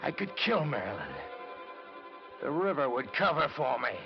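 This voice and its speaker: A man's voice: lowish voice, animated, normal speed, natural voice. Man acting a bitter hardboiled reporter, vintage radio sound. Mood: tense, obsessed. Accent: American. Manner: dramatic, clipped hardboiled delivery. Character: bitter, brooding hardboiled male newspaper reporter. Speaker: radio drama lead and narrator. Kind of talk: old-time radio noir acting. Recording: dull old radio recording with music.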